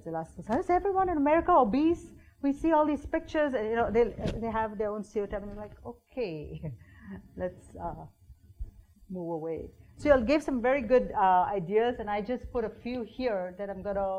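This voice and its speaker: A woman's voice: mid-range voice, darkish tone, low-quality recording. A middle-aged woman from India giving an informal workshop talk, conversational, with lots of fillers and acted-out quotes. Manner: conversational, many fillers and restarts, acts out quoted voices. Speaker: workshop presenter. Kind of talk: informal presentation to an audience.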